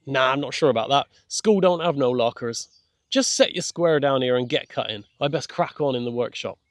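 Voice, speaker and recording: A man's voice: mid-range voice, animated, moderate pace, dry recording, close to an everyday voice. Man voicing a chirpy, colloquial groundskeeper, close dry sound. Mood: guarded, brisk. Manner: colloquial, chirpy, dropped grammar. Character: cheery middle-aged male groundskeeper, kindly and easygoing. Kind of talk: children's audiobook character dialogue.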